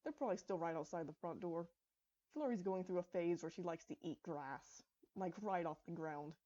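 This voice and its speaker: A woman's voice: lowish voice, normal speed, dark tone, dull audio, natural voice. Woman as a chatty, fond pony mother princess. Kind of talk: acted dialogue in fan fiction storybook reading.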